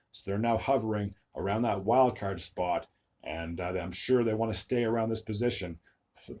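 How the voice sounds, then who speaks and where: Young man, hockey recap host, slightly raspy, lowish voice, trips over names, lots of "uh". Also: darkish tone. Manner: stumbles over player names, frequent "uh" fillers. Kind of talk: solo sports recap video.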